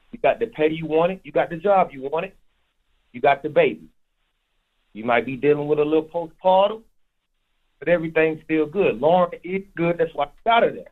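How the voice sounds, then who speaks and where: A man calling in to a radio show, giving his opinion casually. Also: a little high. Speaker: radio call-in listener. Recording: muffled tinny phone line.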